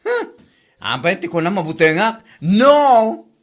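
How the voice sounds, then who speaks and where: A man playing a loud, mocking, gossipy busybody in an Ilocano radio comedy drama, theatrical and full of exclamations. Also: sits high, big pitch swings, slow pace, exaggerated voice. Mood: indignant disgust.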